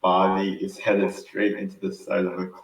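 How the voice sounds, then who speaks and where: A man giving halting amateur narration of a script at a table read. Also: sits low, monotone. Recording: roomy, distant mic with faint noise.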